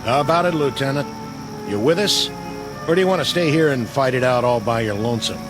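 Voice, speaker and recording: Guy doing a gruff sarcastic sergeant, barking orders, music under it. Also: mid-range voice, brisk, natural voice. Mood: mocking. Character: tough, sardonic male army sergeant. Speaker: soldier. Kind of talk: radio drama acting. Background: music score.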